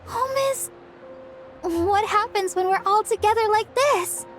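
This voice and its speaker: An actress voicing a sweet, cheerful young woman who speaks gently and earnestly. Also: extremely high pitch, measured pace. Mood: gentle. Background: soft game music.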